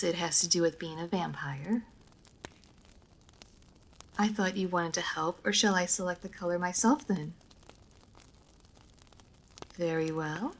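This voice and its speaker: A woman's voice: on the low side, gravelly, slight background noise, rough recording. A woman playing a centuries-old female vampire in a roleplay audio drama, prim, proud and guarded yet playful, with formal, old-fashioned phrasing.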